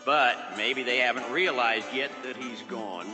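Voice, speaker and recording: A man's voice: mid-range voice, a little slow, pitched below the performer's own voice, natural voice. Storybook schemer, played by a male reader: grown crook, cool-headed, commanding, dry folksy talk, music underneath. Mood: reassuring. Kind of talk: storybook character voice. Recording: long reverb, music behind the voice.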